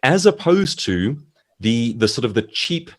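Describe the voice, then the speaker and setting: A man's voice: mid-range voice, very animated, unhurried. A South African man giving conversational, articulate advice as a guest speaker on a video call. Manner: articulate, explanatory, acts out short quotes. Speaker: author, seminar guest speaker. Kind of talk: conversational expert advice in an online seminar.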